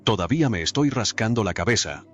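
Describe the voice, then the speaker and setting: A man reading a scripted documentary narration in an even, measured way. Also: lowish voice. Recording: dull lo-fi voice-over with faint music. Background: faint background music.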